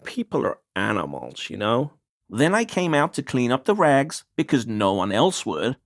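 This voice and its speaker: A man's voice: medium pitch, wildly swooping pitch, normal speed. A male actor playing a nervous, apologetic townsman in a video game, pleading and hesitant as he answers to the police. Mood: defensive, explaining. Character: nervous, grovelling small-time bigoted townsman under police questioning. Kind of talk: scripted video game character dialogue.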